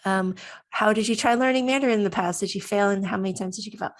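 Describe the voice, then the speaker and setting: A woman in her thirties speaks casually, as if recording a video testimonial. Her voice sits at a medium pitch. She is chatty and expressive, putting her own thoughts into words as she goes. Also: fast. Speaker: student giving a testimonial.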